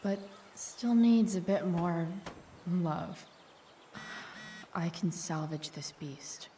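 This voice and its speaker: A woman's voice: lowish voice, extremely slow, warm tone, slight background noise. A woman with an American accent voicing a headstrong, irritable teenage girl in a video game, terse and slangy. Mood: focused muttering. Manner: muttering to herself, terse, slangy. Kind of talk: naturalistic game cutscene acting.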